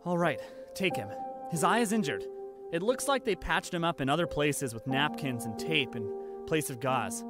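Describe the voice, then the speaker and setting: A man's voice: a little high, animated, moderate pace, natural voice. A man playing a polite, dutiful police officer in a dramatic manga dub, courteous and protective. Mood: reassuring urgency. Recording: music behind the voice.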